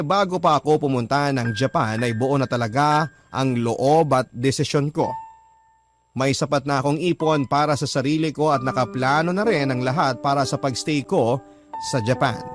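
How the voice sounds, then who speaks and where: A man's voice: mid-range voice, lightly acted. A man narrating as a reflective young letter-writer over music. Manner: reflective, storytelling. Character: young man recounting his love story to the radio host. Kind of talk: first-person scripted narration. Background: clear background music.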